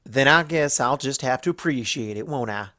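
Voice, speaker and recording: A man's voice: medium pitch, normal speed, pushed and bright, natural voice. A man voicing a stubborn, blunt young female farm pony in a storybook reading, with folksy country phrasing. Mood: teasing, curious. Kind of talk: storybook fan fiction character voice.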